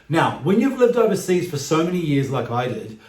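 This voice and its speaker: Male vlogger, 40s, New Zealand expat accent, fairly high voice, emphatic delivery, roomy echoing sound. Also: very animated, brisk, darkish tone. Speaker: YouTube channel host. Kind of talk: direct-to-camera vlog talk.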